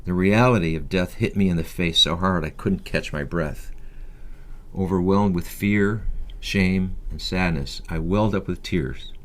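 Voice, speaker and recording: A man's voice: sits low, measured pace. A man in his fifties reading a scripted first-person memoir as an audiobook narration. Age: 50s.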